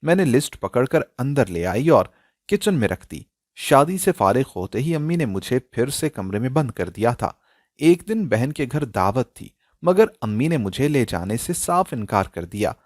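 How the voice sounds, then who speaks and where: A man narrating a scripted dramatic story in an emotional, expressive style. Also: medium pitch, normal speed, no room sound, studio quality.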